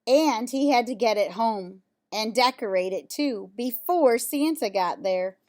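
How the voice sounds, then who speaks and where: A woman reading a children's picture book aloud, pausing for casual asides and questions to young listeners. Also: fairly high pitch, lively intonation, darkish tone. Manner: pauses to comment on pictures and ask listeners questions.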